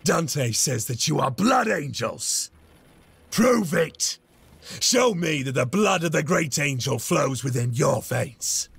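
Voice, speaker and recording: Gravelly, battle-weary veteran space marine. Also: male voice, on the high side, animated, a bit bright, heavily acted. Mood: stern, challenging. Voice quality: gravelly, very raspy. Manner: solemn, deliberate, commanding. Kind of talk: dramatic video game cutscene acting.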